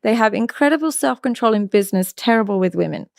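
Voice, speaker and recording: A woman's voice: fairly high pitch, expressive, fast, vocal fry, no echo, clean studio sound. A woman answering interview questions as a psychology coach, speaking frankly and assertively, often restarting her sentences. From London, England. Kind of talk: interview answers, giving opinions.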